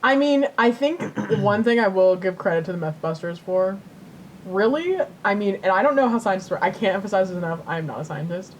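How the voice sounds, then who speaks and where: A woman co-hosting a podcast in casual banter, irreverent and joking with loose phrasing. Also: fairly quick. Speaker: podcast co-host. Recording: steady background noise with low rumble.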